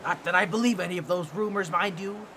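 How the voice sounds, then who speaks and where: A man voicing an excitable, boastful talking octopus in a comedic audio roleplay, with a nasal, squawky voice and a fussy, theatrical, pompous way of talking to the listener. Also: high voice, fast, a little hiss or hum. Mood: curious, amazed.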